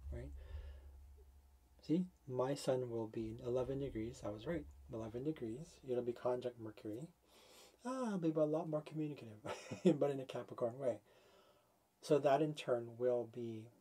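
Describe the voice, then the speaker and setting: A man's voice: medium pitch, mellow, slightly rough. Man in his forties thinking aloud. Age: 40s. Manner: thinks aloud, working out sums with many restarts. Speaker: astrology video host. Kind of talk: casual explanatory talk to camera. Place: small room with foam panels, indoors.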